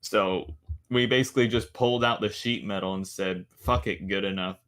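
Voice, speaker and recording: A man's voice: lowish voice, fairly even intonation, normal speed, fairly bright tone. A man co-hosting a casual podcast, telling a work story in a dry, sarcastic way. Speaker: podcast co-host. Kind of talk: casual chat.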